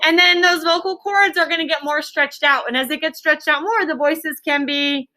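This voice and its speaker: A woman teacher leading an interactive lesson for young children, encouraging them with praise and explaining in simple, deliberate terms. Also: high-pitched, steady tone, pushed and bright. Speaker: elementary school teacher. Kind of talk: interactive classroom lesson with questions. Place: classroom.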